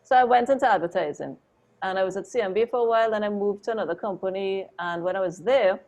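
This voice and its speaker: A woman, a photographer and interview guest, telling her story in a chatty, animated way with lots of "like" and "oh my God". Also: mid-range voice, fast talker, muffled recording.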